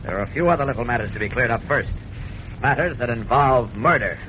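A male actor plays a steady heroic superhero in 1940s radio style. His voice sits in the middle range, authoritative and clipped, under dull sound and clear noise. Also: mid-range voice, quick pace, lightly acted. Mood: stern. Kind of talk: 1940s radio drama acting. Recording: muffled, noisy old radio recording.

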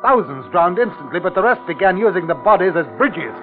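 An actor playing an anxious, observant commissioner recounting a siege in a 1950s radio drama. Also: male voice, sits high, lively intonation. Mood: grave narration. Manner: measured, dramatic storytelling. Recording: tinny 1950s radio recording with music.

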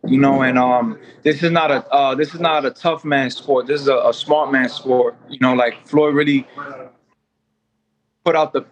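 Twenties guy from new york, boxer on a podcast, keeps saying you know and restarting, middle pitch. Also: fairly even intonation, moderate pace, rough recording. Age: 20s. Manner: loose and rambling, many fillers and restarts. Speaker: boxer, guest. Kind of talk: casual interview chat.

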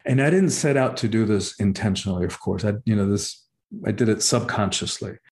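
A middle-aged man from Cuba, a spiritual teacher appearing as an interview guest, telling stories from his own life in a thoughtful way, pausing often and restarting phrases. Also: fairly low pitch, darkish tone. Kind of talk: reflective storytelling in an interview.

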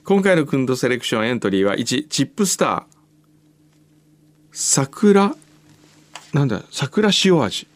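A man's voice: medium pitch, sing-song, measured pace, pauses often. A man co-hosting a radio show, chatting casually and reading out listener mail.

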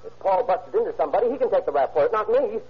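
A rattled, husky-voiced suspect in an old radio drama, his quick speech jumping up and down in pitch as he stammers out his denials. The sound is muffled, like an aged broadcast transcription. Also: lively intonation. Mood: defensive. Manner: jittery, stammering, protesting his innocence. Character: nervous pleading male truck owner suspect. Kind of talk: 1940s radio acting.

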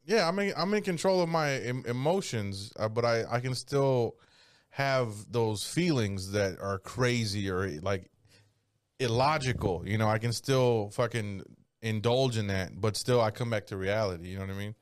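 A man in his thirties in profane casual banter, mocking others by putting on silly high voices. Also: normal speed, smooth, no room sound. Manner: swears freely, acts out others in a high mocking voice.

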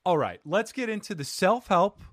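Animated man hosting a podcast. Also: high voice, moderate pace, no echo, clean studio sound. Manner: playful, animated, self-deprecating. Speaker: podcast host. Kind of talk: casual interview chat.

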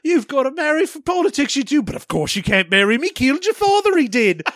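A man's voice: very high-pitched, sing-song, fast, a bit bright, dry recording, studio quality, pitched above the performer's own voice, heavily acted. A man putting on a gossipy old servant with a rustic folksy manner.

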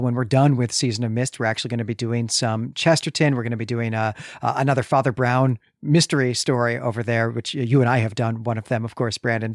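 Brief: male podcast co-host, average pitch, halting casual delivery, very dry close-mic recording. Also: no echo.

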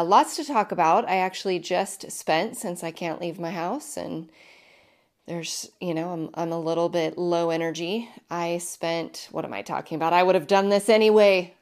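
A woman in her thirties hosting her own podcast, talking casually with emphatic repetition, her voice hoarse and deepened from illness. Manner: chatty, emphatic, repeats herself. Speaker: podcast host. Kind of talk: casual solo podcast monologue.

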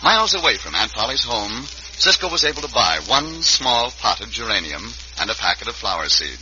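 A man's voice: medium pitch, sing-song, normal speed, sharp tone, a little hiss or hum. A man narrating as an old-time radio announcer, in an emphatic, theatrical, scripted style. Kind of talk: dramatic 1950s radio announcing. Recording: dull vintage radio recording.

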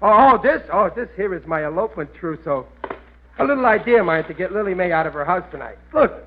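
A man's voice: high-pitched, expressive, fast, a little hiss or hum. A man playing a stubborn, boastful working-class husband and father in an old radio sitcom, indignant and ungrammatical. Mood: proud, blustering. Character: blustering, stubborn working-class family man, dim schemer. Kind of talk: 1940s radio sitcom acting. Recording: tinny, narrow old radio recording.

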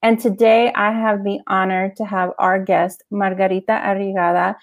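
A woman hosts an interview podcast, her voice carrying a gravelly, creaky edge. She introduces her guest and asks long, winding questions. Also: medium pitch, little pitch movement, normal speed. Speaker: podcast host, life coach.

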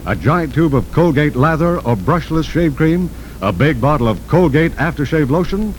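An American man reads a radio advertisement in the style of the 1940s. His polished, agreeable pitch moves up and down freely. Faint rumbling noise marks it as an old broadcast. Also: animated, normal speed, rough recording. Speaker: radio announcer and sidekick.

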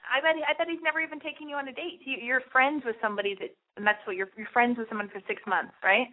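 A woman talks quickly in a slightly high voice, handing out blunt, bossy advice as the host of a call-in show. Her voice comes through narrow and boxy, like a telephone line. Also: fairly even intonation.

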